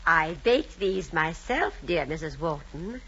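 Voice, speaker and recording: Timid gossipy lady, hesitant, slow, fluttery, vintage radio drama, muffled hissy sound. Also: deep voice, big pitch swings, fairly bright tone, full character voice. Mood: hesitant, anxious. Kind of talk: old-time radio drama acting. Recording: dull, noisy vintage radio recording.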